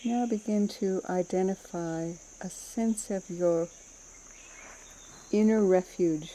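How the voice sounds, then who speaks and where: A woman meditation teacher leading a guided mindfulness practice in a soothing, unhurried manner, with gentle pauses between phrases. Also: medium pitch, slight background noise.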